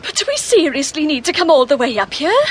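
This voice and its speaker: Blunt, high-voiced sceptical woman. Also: big pitch swings, a little fast, a little hiss or hum. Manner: blunt, informal, questioning. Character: blunt, sceptical, down-to-earth amateur actress, a woman. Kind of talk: comic radio drama acting.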